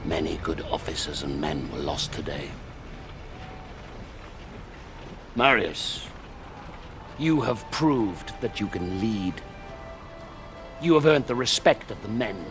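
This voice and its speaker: Deep, stern Roman commander. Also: male voice, animated. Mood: solemn approval. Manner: commanding, measured, authoritative. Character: gruff, battle-worn Roman army commander, stern but honorable. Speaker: military commander. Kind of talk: cinematic game drama acting. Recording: loud music behind the voice.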